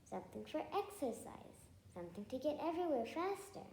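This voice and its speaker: A woman's voice: high voice, sing-song, a little fast, a little mellow, voice pushed up. A woman voicing an eager, earnest male pig for a storybook, drawing out his words. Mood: hopeful. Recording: echoey room, faint background noise.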